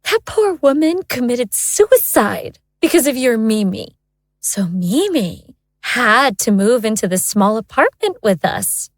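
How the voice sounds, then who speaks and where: Woman acting a high-voiced, anguished wife. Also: very animated, takes it slowly, no room sound, studio quality, full character voice. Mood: bitter, insistent. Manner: insistent, emotional. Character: fed-up, anguished wife and mother Rachel. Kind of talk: audio horror drama acting.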